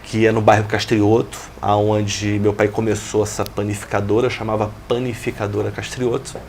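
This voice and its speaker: A man in his forties from Brazil, a business owner talking through his career as an interview guest, in a steady, reflective way. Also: lowish voice, normal speed, slight background noise. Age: 40s. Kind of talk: interview, telling his life story.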